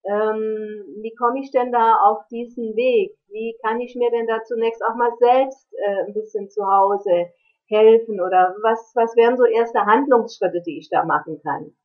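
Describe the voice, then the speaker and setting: Woman, interviewer, fairly high voice, thoughtful questions, phone-like sound. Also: fairly even intonation, unhurried. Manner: soft affirming murmurs, thoughtful questions. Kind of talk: interview host, warm questions. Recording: tinny phone line.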